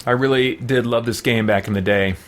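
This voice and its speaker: A man's voice: medium pitch, steady tone, a little fast, a little hiss or hum. Casual man thinking aloud as he games. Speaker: gamer playing and commenting on a role-playing game. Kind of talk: casual let's play commentary.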